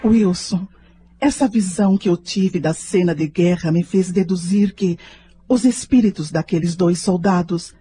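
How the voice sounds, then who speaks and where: An actress playing an earnest middle-aged mother in a radio drama, explaining things with conviction in a husky, dark voice. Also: on the low side, unhurried.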